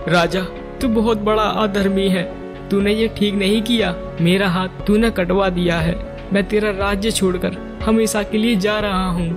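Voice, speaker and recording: A man's voice: high voice, fairly even intonation, normal speed. A man voicing a wronged, pious priest in a cartoon story, with a plaintive, dramatic delivery. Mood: bitter. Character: wronged pious Brahmin priest, pleading innocence. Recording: music behind the voice.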